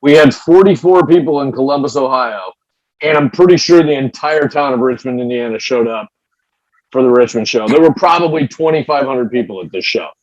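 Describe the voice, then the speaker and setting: A man's voice: mid-range voice, animated, fairly quick, no echo, highs cut off. A man, a former pro wrestler, telling road stories in a casual interview, often repeating his first words. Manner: storytelling, repeats words when starting.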